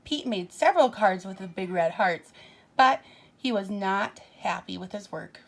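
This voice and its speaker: A woman reads a children's picture book aloud in a teacherly way. Her pace is unhurried and her voice sits at a medium pitch. She is expressive and puts simple questions and asides to her young listeners. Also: creaky.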